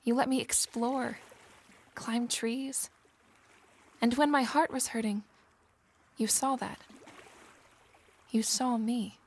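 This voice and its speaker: A woman playing a husky, earnest young heroine. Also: a little high, fairly even intonation, slow, long pauses, exaggerated voice. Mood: heartfelt. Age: young adult. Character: tender, earnest young Regency woman, quietly brave. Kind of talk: Regency-era audio drama acting.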